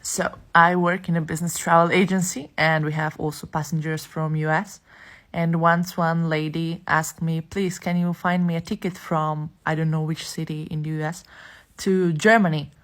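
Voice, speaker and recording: A woman's voice: low-pitched, little pitch movement, normal speed, a bit bright. A woman who works as a travel agent, telling an exasperated story to camera. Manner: exasperated, emphatic.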